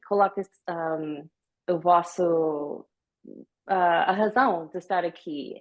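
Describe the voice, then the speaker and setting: A woman from the United States gives a live online talk in a language she learned later, pausing often with many fillers. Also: fairly low pitch, darkish tone. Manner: hesitant, with many fillers and pauses. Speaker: guest speaker. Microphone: video call.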